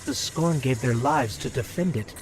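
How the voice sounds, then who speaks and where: A man voicing a small, curious robotic companion in a video game, talking in a casual, wry, questioning way over loud music. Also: mid-range voice, normal speed, slight background noise, close to an everyday voice, low-quality recording. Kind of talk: video game mission dialogue. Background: loud orchestral game score.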